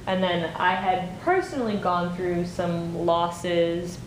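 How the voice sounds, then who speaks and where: A young woman from Michigan chats casually in an interview, thinking aloud and scattering "like" and "um" through her sentences. Her voice sits a bit low for a woman. The room is echoey, with a faint low rumble behind her. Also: takes it slowly. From Michigan, United States. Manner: chatty, full of "like" and "um", thinking aloud. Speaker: playwright and director. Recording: roomy echo, faint rumble.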